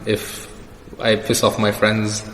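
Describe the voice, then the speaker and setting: A man's voice: lowish voice, completely flat delivery, takes it slowly. A young man answering questions as the guest in a casual personal interview. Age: young adult. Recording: echoey reverberant room with background noise.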